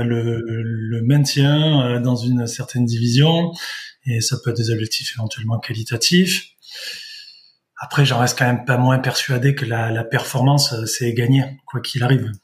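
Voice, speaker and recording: A man in his thirties from the south of France answers interview questions, often pausing and restarting his sentences. His voice sits at an ordinary middle pitch, and he speaks like a guest explaining his work. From southern France, Vaucluse. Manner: hesitant, many fillers and restarts. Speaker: guest, sports dietitian-nutritionist.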